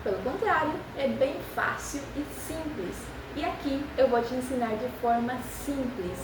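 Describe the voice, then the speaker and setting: A young woman who is a native Spanish speaker, speaking Portuguese with a Spanish accent and teaching beginners with an encouraging manner, repeating phrases slowly for practice. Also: high voice, reverberant, distant mic, noisy background. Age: young adult. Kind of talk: beginner language lesson.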